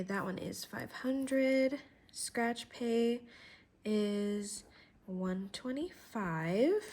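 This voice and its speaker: A woman's voice: mid-range voice, a little mellow. A woman vlogger talking through her budget while writing, chatty and thinking aloud, a little congested from a cold.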